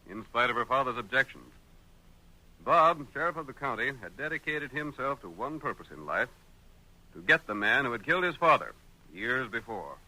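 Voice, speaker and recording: A male announcer narrates a vintage radio western in a formal, dramatic manner. His mid-pitched voice is extremely bright and forceful, on an old broadcast recording. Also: rough recording.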